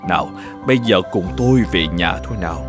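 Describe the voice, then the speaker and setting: Grumpy older cartoon husband, animated pitch. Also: male voice, fairly quick, music in the background. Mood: apologetic. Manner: exclaiming, muttering to himself, scolding. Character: grumpy, nagging older shoemaker husband, scornful and quick to scold. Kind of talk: animated folk tale voice acting.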